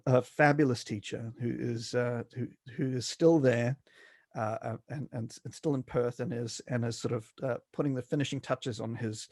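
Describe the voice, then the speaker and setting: A man's voice: medium pitch, creaky, darkish tone, no echo, studio quality. A middle-aged man from South Africa with a mixed, mostly Australian accent, speaking as a guest scholar in an interview, hesitant and full of fillers and restarts. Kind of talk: academic interview.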